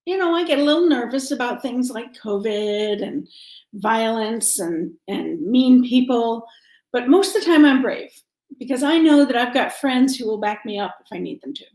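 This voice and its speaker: In a roomy, echoing space, a woman with a somewhat high voice talks to children. She speaks simply and directly, as a storyteller reading from a picture book. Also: expressive, moderate pace, a little mellow. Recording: roomy, echoing home-style sound.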